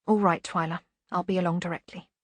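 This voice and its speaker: A woman voicing a brisk, stern governess in a storybook, speaking quietly and firmly with dry menace. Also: on the low side, fairly even intonation, no echo, lightly acted. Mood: firm warning.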